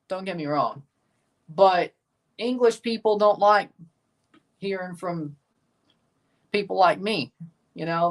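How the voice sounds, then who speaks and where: Southern American male streamer, loose and chatty, mild cursing, a bit distant from the mic. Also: high voice. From United States. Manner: rambling, casual, mild swearing. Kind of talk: live match watchalong chat.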